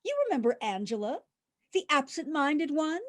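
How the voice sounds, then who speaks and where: High-voiced, dithery elderly landlady. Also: lively intonation, moderate pace. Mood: fond, reminiscing. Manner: forgetful, rambling, earnest. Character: dithery, absent-minded elderly landlady, kindly and chatty. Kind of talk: old-time radio comedy acting.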